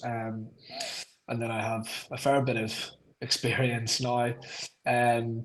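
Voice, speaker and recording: A man's voice: mid-range voice, low-quality recording. A young man with a Northern Irish accent, a podcast guest talking in an easygoing, rambling way with frequent ums. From Northern Ireland. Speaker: guest, club marketing staff. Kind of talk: casual podcast interview.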